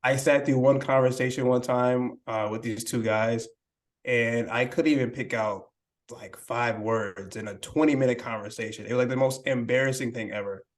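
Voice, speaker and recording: A young man with a mid-range voice speaks in a relaxed, unhurried way. He hedges and restarts often, sounding modest and a little self-critical, as a guest on a casual podcast. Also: medium pitch. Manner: hesitant, many fillers and restarts. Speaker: podcast guest, language learning creator. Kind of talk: casual interview conversation.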